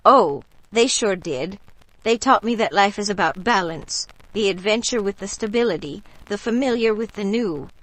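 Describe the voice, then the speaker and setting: Actress doing folksy kind bayou neighbor lady, gentle storyteller, mid pitch, radio play. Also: moderate pace, lightly acted, low-quality recording. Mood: reassuring. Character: kindly, folksy bayou neighbor woman telling family stories. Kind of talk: scripted radio drama dialogue.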